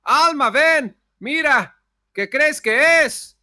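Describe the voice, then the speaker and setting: A woman's voice: high-pitched, expressive, slow pace, pushed and bright, no room sound, clean studio sound, voice pushed up, full character voice. A woman voicing an uneasy elderly Mexican husband calling out, in an audiobook drama.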